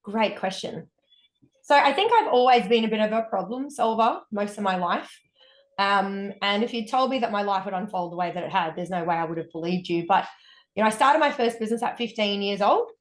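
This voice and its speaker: Australian woman guest, candid and upbeat, mid pitch, roomy echo. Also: animated, brisk. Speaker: guest, wellness coach. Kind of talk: casual interview storytelling.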